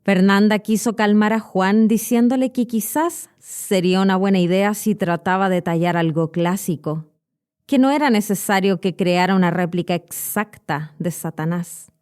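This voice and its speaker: A Chilean woman in her thirties hosts an educational podcast. Her voice sits at a middle pitch, and she reads her script like an expressive storyteller. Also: moderate pace, clean tone. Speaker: podcast host and Spanish teacher.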